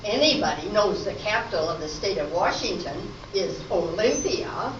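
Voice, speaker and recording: Casting: woman as superior, bookish contestant, animated intonation, stage comedy; very noisy recording. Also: mid-range voice, normal speed, echoey room, distant mic, highs cut off, natural voice. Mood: smug. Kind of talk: amateur stage radio-play comedy acting.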